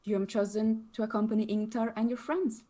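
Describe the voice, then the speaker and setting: A woman's voice: medium pitch, flat delivery, a little fast, warm tone, lightly acted. Solemn mystic woman, acted in a table read. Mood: grave, certain. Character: solemn woman of mystic power revealing a prophecy. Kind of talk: dramatic screenplay table read.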